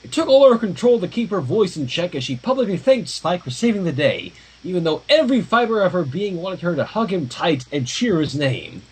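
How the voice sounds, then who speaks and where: A man reading a story aloud on a livestream with expressive, dramatic narration and casual asides, in a husky voice. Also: high-pitched, a little fast, hardly any pauses, low-quality recording. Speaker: fan fiction reader.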